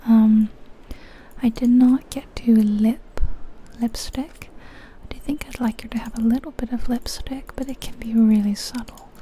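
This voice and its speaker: A woman's voice: fairly high pitch, steady tone, a little slow, warm tone, slight background noise. A woman whispering breathily in an ASMR game commentary, musing aloud and indecisive, close to the microphone. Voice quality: breathy, mostly unvoiced whisper. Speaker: ASMR video creator.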